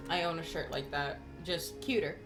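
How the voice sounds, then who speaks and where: Female streamer, lowish voice, off-the-cuff gameplay commentary and dry asides, music underneath. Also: moderate pace. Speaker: game streamer. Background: game music.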